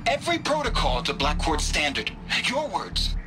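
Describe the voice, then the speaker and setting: A man's voice: fairly high pitch, huge pitch swings, normal speed. A man voicing a defensive male engineer explaining himself, in a game. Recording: game audio with music.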